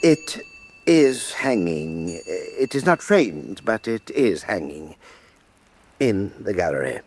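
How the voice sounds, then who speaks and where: A statesman in a radio play with a very deep voice. He draws out his words slowly and swings widely in pitch. The recording is dry. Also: big pitch swings, a little mellow, exaggerated voice. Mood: evasive. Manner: measured, smooth and stalling. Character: powerful, evasive man of state showing a painting. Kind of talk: radio drama acting.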